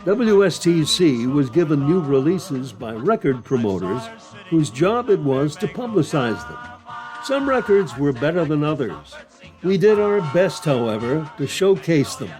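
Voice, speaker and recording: A man's voice: a little high, big pitch swings, measured pace, a little mellow, faint music behind. An older man narrating a documentary in a reminiscing, scripted style, as a former radio announcer.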